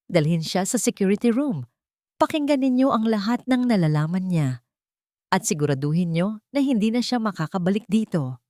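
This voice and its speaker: A woman's voice: mid-range voice, lively intonation, normal speed, warm tone, dry recording, studio quality, close to an everyday voice. A woman voicing a cold, merciless rich father, stern and accusing, in an audio drama. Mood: commanding.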